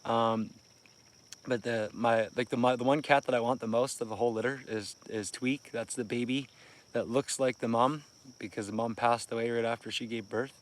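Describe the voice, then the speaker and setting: A man's voice: mid-range voice, fairly even intonation, normal speed. A tired Canadian man in his thirties, chatting casually to livestream viewers by a lake at dawn and keeping his voice down, with frequent laughs. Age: 30s. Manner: chatty, laughs often, keeps voice down. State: tired from little sleep. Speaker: fishing livestreamer. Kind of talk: casual live chat to viewers. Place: outdoors by a lake near a campsite at dawn. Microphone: phone livestream.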